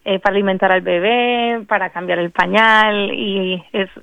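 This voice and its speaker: A young woman from the United States with a slight Colombian accent, a guest singer speaking politely and warmly. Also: medium pitch, steady tone, moderate pace, gravelly, no echo. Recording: dry, dull remote phone call.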